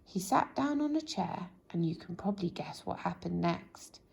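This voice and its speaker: A woman reading a children's bedtime story expressively, in a gentle, drawn-out way that drops into hushed tones. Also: fairly low pitch, expressive, gravelly. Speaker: storybook reader.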